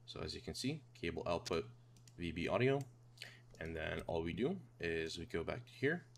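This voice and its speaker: Man, low voice, casual tutorial narration, informal step-by-step delivery, faint background noise. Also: sing-song, moderate pace. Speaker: tutorial host. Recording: clear background noise and hum.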